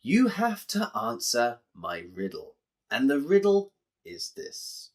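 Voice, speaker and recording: A smug, crooked fairground bookmaker from a children's tale, as acted by a male storyteller. He speaks deliberately and slyly, with pitch that swings up and down as if setting a riddle. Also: huge pitch swings. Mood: smug, teasing.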